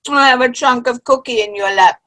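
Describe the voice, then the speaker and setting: A woman's voice: a little high, fairly quick, bright, forceful tone. A woman playing a cheerful, teasing grandmother among old friends in an amateur comedy play reading. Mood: amused. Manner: good-humored, teasing. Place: online video-call play reading.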